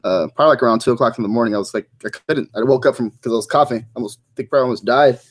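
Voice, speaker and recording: A man's voice: medium pitch, very fast. A man appearing as a podcast guest, telling casual, rambling stories and laughing often. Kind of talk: casual chat.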